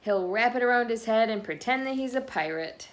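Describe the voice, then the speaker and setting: A woman reading a children's picture book aloud expressively, pausing between phrases with dramatic emphasis. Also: on the high side, lively intonation. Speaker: children's read-aloud host.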